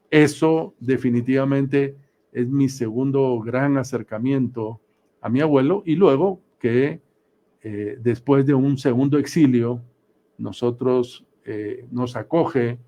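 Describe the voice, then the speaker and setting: Unhurried, mellow man in his sixties. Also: mid-range voice. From Guatemala. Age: 60s. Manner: measured, deliberate, with pauses. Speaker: interview guest. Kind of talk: reflective radio interview answers, recounting memories.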